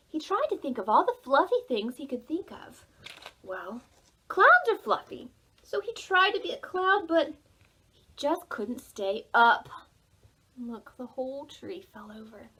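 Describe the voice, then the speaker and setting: High-pitched, expressive woman storytime host. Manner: expressive, dramatic pauses, sing-song emphasis. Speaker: children's librarian, storytime host. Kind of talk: animated read-aloud for preschoolers.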